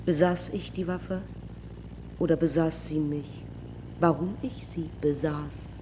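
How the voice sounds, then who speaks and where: An actress playing a wry, reflective young woman aviator of the 1930s in a radio drama, speaking deliberately in short, clipped fragments. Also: low voice, fairly even intonation, background noise. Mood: wry, remembering. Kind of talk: radio drama monologue and dialogue.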